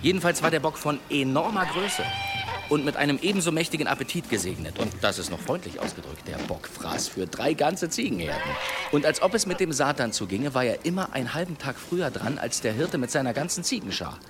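Boastful demon hunter, animated storyteller. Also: male voice, medium pitch, a little fast, background noise. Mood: relishing, dramatic. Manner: grandiose, relishing storyteller. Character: boastful, theatrical male demon hunter spinning tall tales. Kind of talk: German historical audio drama storytelling.

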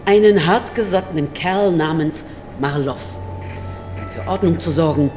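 A woman's voice: sits low, measured pace. An actress playing a cold, commanding Soviet woman scientist who guards a state secret in a radio drama. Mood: cold, mocking. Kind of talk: German radio drama acting. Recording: reverberant hall, music underneath.

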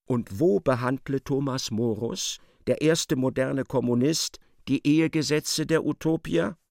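A man acting as the sardonic narrator of a literary radio play, articulate and expressive. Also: mid-range voice, measured pace, gravelly, no echo, clean studio sound. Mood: measured. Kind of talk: dramatised scripted narration.

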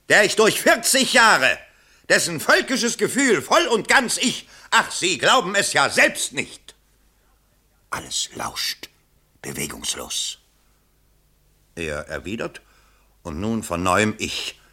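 An actor in a 1970s German radio play, playing a pompous yet anxious election candidate with theatrical oratory. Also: male voice, a little high, big pitch swings, slow, pauses often, sharp tone, husky, full character voice. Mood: bombastic, then nervous self-coaching. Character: pompous, anxious male election candidate rehearsing and declaiming speeches.

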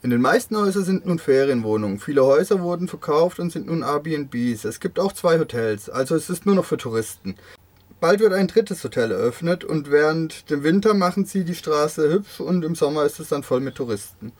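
Higher-pitched man reading interview answers. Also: animated, fairly quick, a little mellow. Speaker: voice-over reader.

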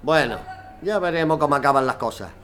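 A man playing a stern conservative father, gruff and scornful, in a radio drama. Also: a little high, animated, a little fast, fairly bright tone. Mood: despectivo. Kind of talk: amateur radio drama acting.

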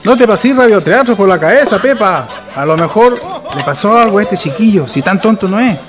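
A man's voice: sits high, lively intonation, fairly quick, music in the background. A man playing a stern but caring father with a Chilean accent in a radio drama.

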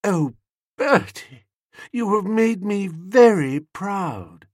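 A man's voice: high voice, lively intonation, very slow, no room sound, studio quality, voice pushed up, heavily acted. A man voicing a merry, coy, gushing young woman, the niece, in a comic audiobook.

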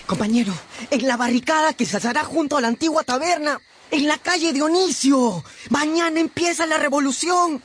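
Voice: high voice, normal speed, slight background noise. An actor playing a plucky, defiant little revolutionary street boy in a radio drama. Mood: excited. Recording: loud music under the voice.

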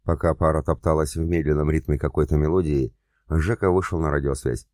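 A man reading a novel aloud as a steady audiobook narrator, voicing the characters with little change. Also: a bass voice, fairly quick, no echo, studio quality. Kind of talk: scripted narration.

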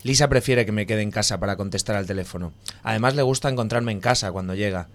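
A man's voice: fairly low pitch, fast talker, close to an everyday voice. A man playing an anxious, lonely house husband in an audio drama, chatty and self-pitying. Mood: wistful.

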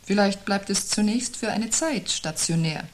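An actress playing a weary, sceptical wife who answers gloom with dry, pointed, controlled lines in a husky voice. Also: female voice, medium pitch, unhurried, natural voice. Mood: cautious concern. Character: sceptical, weary wife, dryly resisting her partner's gloom. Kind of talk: 1970s German radio play acting.

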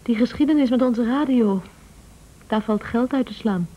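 Een actrice in een Nederlands hoorspel speelt een sluwe, praktische echtgenote die bazig en vleiend aandringt. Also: female voice, on the high side, normal speed, muffled recording, close to an everyday voice. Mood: eager, insistent. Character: shrewd, scheming, persuasive wife, practical and bossy. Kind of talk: Dutch radio play acting.

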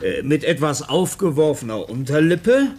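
An actor playing a brusque, impatient, suspicious police official in a 1950s German radio drama. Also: male voice, fairly high pitch, very animated, moderate pace, darkish tone, husky. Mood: officious, dictating. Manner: hesitant filler-laden dictation, barking orders. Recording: noisy 1950s radio play recording.

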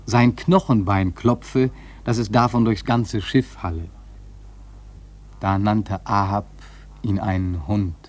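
Husky, low-voiced solemn sailor narrator. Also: male voice, fairly even intonation, slow pace, long pauses, a little mellow. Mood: solemn, awed. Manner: solemn, emphatic, dramatic. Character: grave, awed sailor recounting his voyage. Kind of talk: literary radio drama narration.

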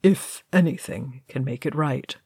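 A woman voicing a grave, sorrowful, noble male dwarf ghost in a solemn, measured storybook reading. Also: a bass voice, a little mellow, slightly rough, pitched below the performer's own voice, heavily acted. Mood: weary.

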